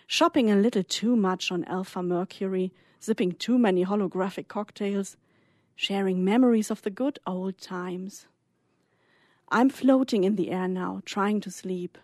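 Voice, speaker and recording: A woman's voice: medium pitch, normal speed, a little mellow, no room sound. Woman reading a dreamy story, dry sound. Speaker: guest reader. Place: radio studio.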